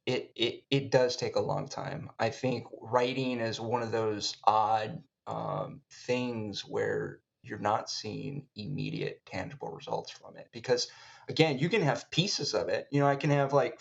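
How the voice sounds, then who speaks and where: A man hosting a conversational podcast, speaking in a wordy, halting, reflective way. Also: medium pitch, gravelly, lo-fi sound. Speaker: podcast host.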